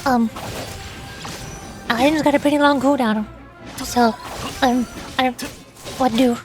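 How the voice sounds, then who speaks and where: A woman's voice: high voice, steady tone, takes it slowly. A woman streamer giving casual gameplay commentary, playfully muttering and exclaiming to herself. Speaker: VTuber streamer. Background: faint game music.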